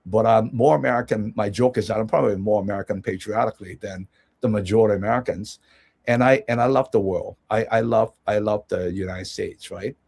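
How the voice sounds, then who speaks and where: A man's voice: fairly low pitch, a little fast, creaky, a little mellow, husky. A man from Hong Kong, a guest analyst giving rambling conversational commentary with many restarts and check-ins.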